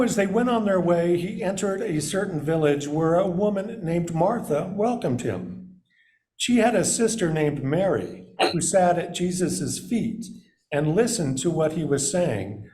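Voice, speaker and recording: A man reading scripture aloud in a measured style, as a reader at a service. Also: on the high side, darkish tone, a little hiss or hum, low-quality recording.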